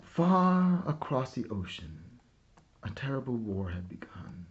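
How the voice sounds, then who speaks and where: A man reading a children's picture book aloud expressively, with dramatic pauses and hushed phrase endings. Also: mid-range voice, very animated, dull audio. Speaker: storybook reader. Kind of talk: scripted narration, picture book read-aloud.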